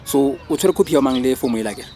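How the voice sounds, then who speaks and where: A man's voice: medium pitch, lively intonation, fast, dark tone, slight background noise, lightly acted. A man with a Botswana accent acting an earnest, sensible young family man in a radio drama, talking to a friend in an insistent, slangy way. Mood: explaining, mildly scolding.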